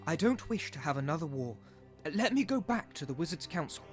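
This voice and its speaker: A young wizard, pitched high and very animated. He pleads earnestly and hurriedly, as a frightened intruder in a dark fantasy story with faint music underneath. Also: brisk. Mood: fearful pleading. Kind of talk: dark visual novel audio drama acting.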